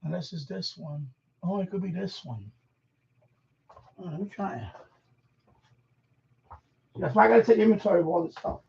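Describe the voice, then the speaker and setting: High-pitched gravelly man, rambling casually. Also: moderate pace, long pauses, warm tone, a little hiss or hum. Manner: informal, slangy, swearing, talking to himself while handling objects. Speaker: live stream host. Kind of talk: casual rambling live stream chat. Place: room at home being set up as a radio shack. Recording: dull lo-fi sound, distant from mic.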